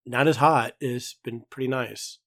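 A man's voice: medium pitch, unhurried, fairly bright tone, no room sound, studio quality. A man hosting a podcast in casual chat, easygoing and agreeable. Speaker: podcast host and producer.

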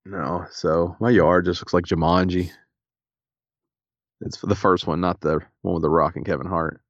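Very low-voiced stuffy man. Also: fairly even intonation, moderate pace, no echo, dull audio. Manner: laid-back, dry humor. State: stuffy, a little raspy. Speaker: podcast co-host. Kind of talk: casual chat.

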